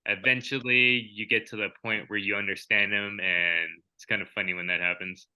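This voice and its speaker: A young man talks through his thoughts on a podcast, loose and reflective, with plenty of filler words. His voice sits a little low but has a very bright, forward tone. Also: steady tone, normal speed, clean tone, poor audio. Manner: reflective, rambling, many fillers. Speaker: podcast co-host. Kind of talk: casual chat.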